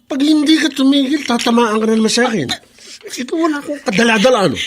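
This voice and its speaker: A man playing a hot-tempered, abusive husband in a Filipino radio drama, gruff, sneering and threatening. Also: very high-pitched, lively intonation, fast talker, a little hiss or hum. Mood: angry, menacing.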